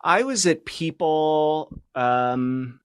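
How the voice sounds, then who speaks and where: A man's voice: fairly high pitch, lively intonation, clean tone, no room sound. Reflective middle-aged man, dry close sound. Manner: halting, reflective, understated. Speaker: podcast guest, media professional. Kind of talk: casual conversational interview.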